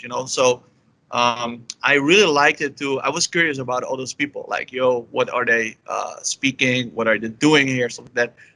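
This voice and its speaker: A man's voice: medium pitch, sharp tone, no echo, low-quality recording. A young Dutch man with a Dutch accent, answering interview questions in a rambling, casual way, full of "you know" and "like". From Netherlands. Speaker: guest, language learner.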